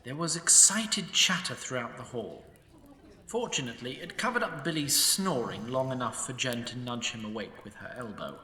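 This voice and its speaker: A man's voice: mid-range voice, expressive, moderate pace, bright, forceful tone. A man narrating a comic fantasy story in a dry, wry storytelling manner. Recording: echoey room, from a distance.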